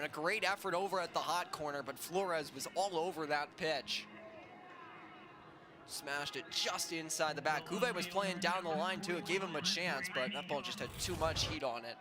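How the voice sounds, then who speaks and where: A man with a slightly high voice works as a baseball color commentator, analysing plays conversationally. There is noticeable outdoor ballpark noise around him. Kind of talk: conversational live sports analysis. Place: ballpark, live broadcast. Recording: noisy outdoor stadium ambience.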